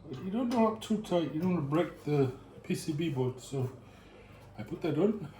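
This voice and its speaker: A man's voice: a little high, expressive, moderate pace, mellow. A man vlogging at home, thinking aloud in a bored, muttering way as he builds a computer, picked up by a camera mic. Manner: sounds bored, muttering to himself. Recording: echoey room with faint hiss.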